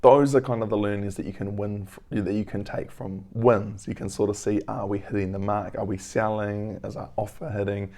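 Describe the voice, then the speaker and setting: Hoarse man in his 30s, crackly and low, mellow tone, earnest and reflective vlogger. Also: little pitch movement, moderate pace, hardly any pauses. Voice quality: crackly voice, frequent throat clearing. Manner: earnest, reflective, rambling with fillers. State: hoarse, lost voice. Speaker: business owner vlogger. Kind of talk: casual weekly vlog update. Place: at home.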